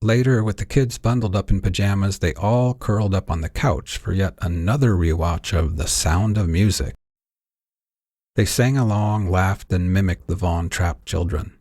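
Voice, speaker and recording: A man narrates a piece of fiction in a scripted audiobook style. His voice sits low, with a husky, slightly rough grain. The sound is dry, with hardly any room in it. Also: moderate pace, a little mellow, no room sound. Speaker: narrator, author reading own story.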